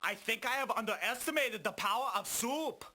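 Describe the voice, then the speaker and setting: A man's voice: extremely high pitch, animated, fairly quick, sharp tone, full character voice. A man voicing a pompous, boastful muscle-bound game villain, making grandiose taunts. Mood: mocking. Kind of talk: exaggerated video game cartoon acting.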